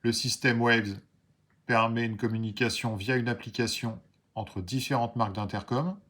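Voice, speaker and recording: A man's voice: mid-range voice, steady tone, normal speed, a bit bright. A man presenting a product unboxing, explaining each item step by step. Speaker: motorcycle gear video creator.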